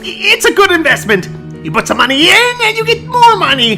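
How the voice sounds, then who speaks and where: A woman's voice: extremely high pitch, very animated, a little fast, vocal fry, full character voice. A woman voicing a scheming, book-thieving scholar who is hammy, indignant and easily flustered, in a comedic fan dub. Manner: theatrical, blustering, easily flustered. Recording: music behind the voice.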